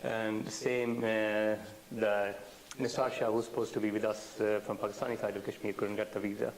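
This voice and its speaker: Male meeting chair, gravelly and flat in delivery, halting, with light hiss on the recording. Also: fairly low pitch. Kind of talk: informal meeting address. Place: indoor meeting. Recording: hissy, slightly noisy meeting recording.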